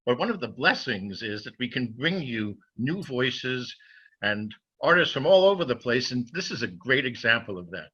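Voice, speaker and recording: A man introduces a staged reading in a mid-pitched voice with a sharp edge and a husky grain. The recording is a little dull and lo-fi. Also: very animated, moderate pace. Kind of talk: spoken welcome introduction. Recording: slightly dull, lo-fi sound.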